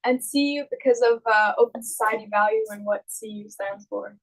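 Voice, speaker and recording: A woman's voice: mid-range voice, moderate pace, rough recording. A young woman from Belgium speaking unscripted as a student introducing herself on a panel. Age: young adult.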